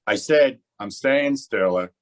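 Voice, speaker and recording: A man's voice: medium pitch, animated, slow pace. A man playing a cocky, stubborn racketeer boss in an old time radio crime drama, with brash, slangy tough-guy talk. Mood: gruff, dismissive.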